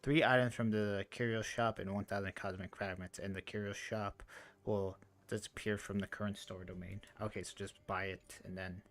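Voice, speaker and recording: A man's voice: lowish voice, fairly even intonation, moderate pace. A man doing casual live game commentary, informal and muttering to himself as he thinks aloud. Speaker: game streamer.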